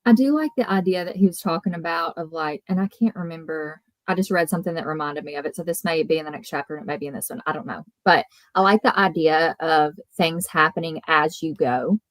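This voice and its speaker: A woman's voice: deep voice, fast, hardly any pauses, no echo. A woman taking part in a casual book discussion, speaking informally and tentatively as she thinks aloud.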